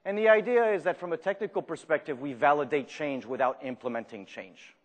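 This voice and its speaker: A man's voice: fairly high pitch, a little fast. French-accented guy doing a conference talk, jokey, asks the crowd stuff, long echo off the hall. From Argentina. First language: Spanish. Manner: self-deprecating, jokey, asks the audience questions. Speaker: conference speaker. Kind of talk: live conference presentation. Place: large conference room. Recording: reverberant hall.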